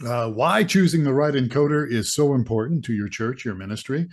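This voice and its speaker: A man hosts a webinar over a video call, his voice pitched ordinarily. He keeps things light and upbeat, often restarting his sentences. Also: animated, fairly quick. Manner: stumbling restarts, upbeat filler like "all right", "there you go". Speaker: webinar host. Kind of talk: hosting a webinar.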